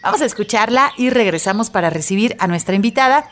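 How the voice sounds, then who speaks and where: A woman's voice: mid-range voice, fast talker. Woman reading a radio script. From Mexico. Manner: steady, informative reading from a script. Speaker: radio show host.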